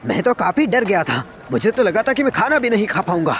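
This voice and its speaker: A man voicing panicky, excitable school characters in a Hindi anime fan dub, with a dramatic, stammering delivery. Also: sits high, a little fast. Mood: relieved.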